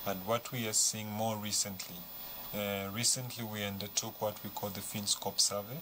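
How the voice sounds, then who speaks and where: A man from a central bank answering interview questions in a formal, measured way. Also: lowish voice, level tone, noisy background.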